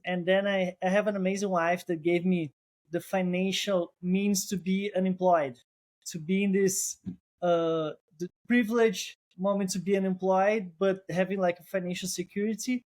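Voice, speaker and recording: A man's voice: high voice, little pitch movement, moderate pace, a little mellow. Brazilian-accented man, thoughtful guest. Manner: thoughtful, restarts phrases, non-native phrasing. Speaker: guest, co-founder. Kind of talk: casual interview.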